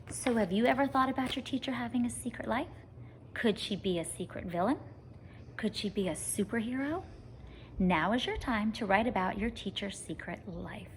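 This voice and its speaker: A woman reading a children's story aloud like a teacher, expressive and speaking straight to young listeners. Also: mid-range voice, a little hiss or hum. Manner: expressive, addressing children directly.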